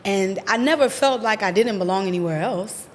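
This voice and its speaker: A woman with an American accent, a guest in an interview, telling her own story in an expressive, animated way. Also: mid-range voice, moderate pace, in a large hall, slight background noise. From USA.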